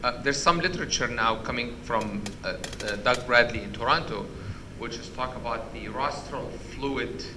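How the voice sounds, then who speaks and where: A man with a loud voice giving an interactive medical lecture, quizzing the audience and restarting his sentences often. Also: medium pitch, moderate pace, few pauses, background noise. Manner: questions the audience, many restarts. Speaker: physician lecturer. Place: conference hall.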